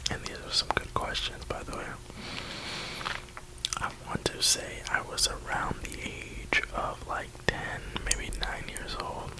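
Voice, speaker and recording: Young man, early 20s, from the US East Coast, soft rambling whisper, close-miked for ASMR. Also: measured pace, rough recording. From New York and Florida, USA. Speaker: ASMR creator.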